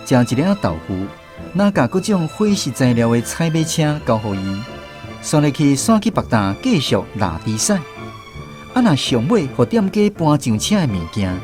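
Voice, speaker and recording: A man with a Taiwanese accent narrating a scripted radio drama as its storyteller. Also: on the high side. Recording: music under the voice. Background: music.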